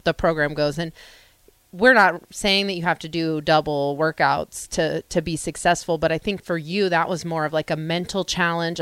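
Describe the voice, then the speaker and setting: Female co-host, 40s, low and dry-miked, conversational and encouraging. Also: a bit bright, no room sound. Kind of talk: casual interview chat.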